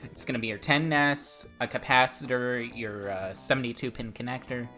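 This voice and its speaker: A man with a Portuguese accent co-hosting a casual podcast, relaxed and chatty, often repeating words. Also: mid-range voice, normal speed, soft background music. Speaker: podcast co-host. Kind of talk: casual chat.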